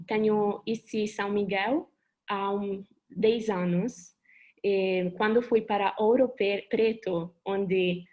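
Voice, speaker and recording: Italian lady speaking portuguese on a video call, earnest, kinda hunts for words, normal pitch. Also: a little mellow. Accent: Italian, with Brazilian Portuguese touches. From Italy. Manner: earnest, pauses to search for words. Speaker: webinar speaker. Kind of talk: presentation over a video call.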